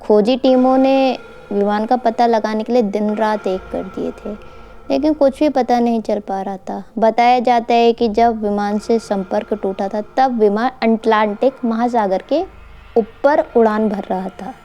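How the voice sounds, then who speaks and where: A woman's voice: on the high side, measured pace, warm tone, lo-fi sound. A woman narrating a mystery story for an online channel, informally addressing her listeners as host. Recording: faint background noise.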